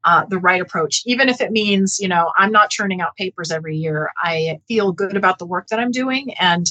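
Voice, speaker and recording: A woman speaking as a professor in an interview, explaining her research in an earnest, measured, halting way with self-corrections. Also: lowish voice, bright, forceful tone, rough recording.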